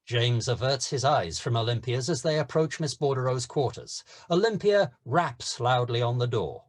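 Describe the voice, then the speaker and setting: A man's voice: mid-range voice, animated, moderate pace. A man reading stage directions as narrator in a scripted screenplay table read.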